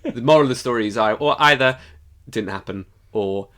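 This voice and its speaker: A man's voice: medium pitch, fairly quick, a bit bright. Male co-host, mid-range voice, playful and wry, loose conversational delivery for a fan podcast. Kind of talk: casual chat about a TV show and its fandom.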